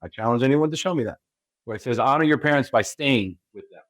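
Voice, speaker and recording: A man's voice: medium pitch, expressive, fast, no echo. A man from California with an American accent, a spiritual guide being interviewed, who talks emphatically and keeps asking rhetorical questions. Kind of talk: interview talk.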